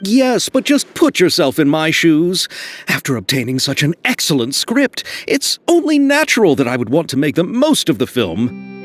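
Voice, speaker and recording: A man's voice: on the high side, huge pitch swings, moderate pace, dry recording. A man playing an earnest, flustered young film director in a video game, polite and a little hesitant. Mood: defensive. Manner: polite, hesitant, nervously apologetic.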